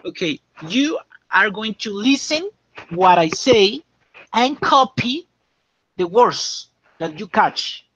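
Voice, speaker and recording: A man teaching English, dictating slowly and checking often that students understand. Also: high-pitched, sing-song, fairly bright tone. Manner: slow and repetitive, checking understanding. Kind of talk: classroom dictation lesson. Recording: dull lo-fi classroom recording.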